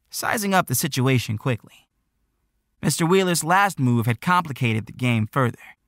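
Expressive male audiobook narrator with gravelly edge. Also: medium pitch, dry recording, clean studio sound. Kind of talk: expressive scripted story narration.

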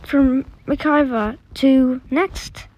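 A woman narrating a train ride video in a casual way, echoing the announcements and calling out as the train moves. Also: very slow. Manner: repeats announcements, excited exclamations. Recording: loud rumbling train noise. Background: rumbling train noise.

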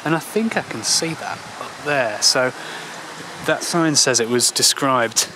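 A man in his thirties talks casually to a handheld camera as he walks outdoors. His delivery is halting, trailing into asides, at an ordinary male pitch. Clear ambient noise sits behind his voice. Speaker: travel vlogger. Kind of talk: casual walking commentary. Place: outdoors in a park and old town streets. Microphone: handheld camera at arm's length.

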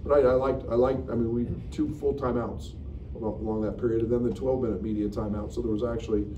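A middle-aged man, a basketball coach, answering questions at a postgame press conference in a frank, halting way with many fillers. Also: medium pitch, steady tone, warm tone, far from the mic, muffled recording. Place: press room at a table. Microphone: table mic, recorded from the room. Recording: echoey room, picked up from afar, noisy.

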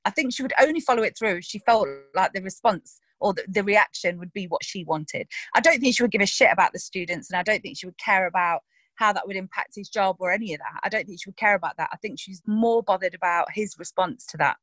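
Young woman, actress, casual interview, expressive, middle pitch, dry close recording. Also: quick pace, dry recording, low-quality recording. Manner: animated, acts out quoted lines, laughs. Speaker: actress interviewed about her characters.

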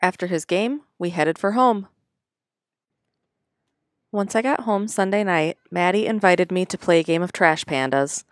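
A middle-aged woman narrates her family weekend in a steady, matter-of-fact voice-over. Her voice is slightly low and plainly delivered. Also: unhurried, pauses often, no echo. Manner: even, matter-of-fact storytelling.

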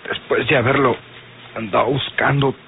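A man's voice: mid-range voice, fairly even intonation, normal speed, creaky, lightly acted. An actor with a Mexican accent playing a badly wounded young gunman in an old radio serial, weak and laboring to speak. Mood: pained, weak. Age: young adult. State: weak, labored, breathy from injury. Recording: muffled, noisy old radio serial recording.

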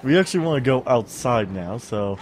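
A man's voice: mid-range voice, lively intonation, fairly quick, over music. A stuffy-sounding young man on a studio mic, casually rambling through gameplay commentary with lots of fillers and humming. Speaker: let's play host.

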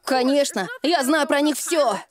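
A woman's voice: sits high, a little slow. A woman voicing an anxious, easily discouraged young schoolboy with a plaintive manner in a cartoon dub. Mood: doubtful.

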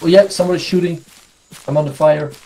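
A man's voice: on the high side, steady tone, fast, dark tone, poor audio. A young man from Portugal with a Portuguese accent, chatting with teammates during a multiplayer game. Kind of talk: casual multiplayer game chat.

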